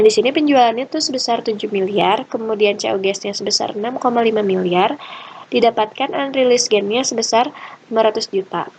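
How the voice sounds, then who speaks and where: A woman's voice: fairly high pitch, rough recording. A young woman giving an explanatory class presentation as a student. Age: young adult. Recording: clear background ambient noise.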